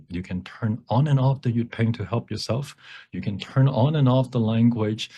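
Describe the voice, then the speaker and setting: Deep, husky-voiced man presenting a workshop. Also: dark tone. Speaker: workshop presenter and font developer. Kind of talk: informal workshop presentation with demonstration.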